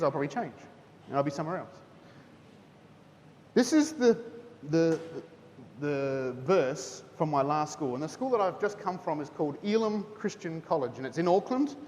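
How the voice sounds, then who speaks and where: A man, a teacher speaking at a school chapel in a chatty manner, often asking "okay?". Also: a little mellow. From New Zealand. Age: 30s. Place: school hall. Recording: hall reverb, faint rumble.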